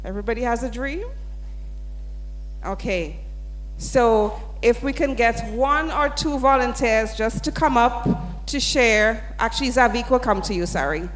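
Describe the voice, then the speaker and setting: A woman's voice: a little high, unhurried. A woman, speaking as a sponsor's representative and leading an audience through an interactive exercise in an upbeat, coaxing way. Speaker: sponsor representative presenting at a student event. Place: large hall. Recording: hall reverb.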